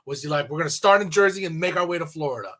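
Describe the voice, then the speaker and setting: A woman's voice: low-pitched, lively intonation, rapid-fire, a bit bright. A woman hosting a talk radio show, chatting casually and eagerly as she interviews a guest.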